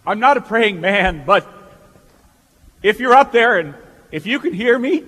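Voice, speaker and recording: A despairing everyman, played by a man in a live radio play. He speaks slowly and haltingly in a very high, anguished voice, with the hall echoing around him. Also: fairly bright tone, heavily acted. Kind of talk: stage radio-play acting.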